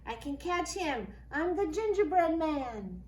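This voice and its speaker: A woman's voice: high voice, big pitch swings, slow pace, a little mellow, voice pushed up, heavily acted. A woman voicing a bold, determined male gingerbread man from a storybook, with eager, emphatic delivery. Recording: echoey room with background noise.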